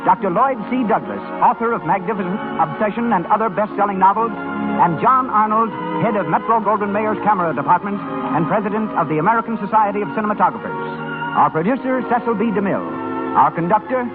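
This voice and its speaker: A man announcing a 1930s radio show and pitching its sponsor in a showy, declamatory manner. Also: expressive, a little fast. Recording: tinny band-limited 1930s radio, loud music.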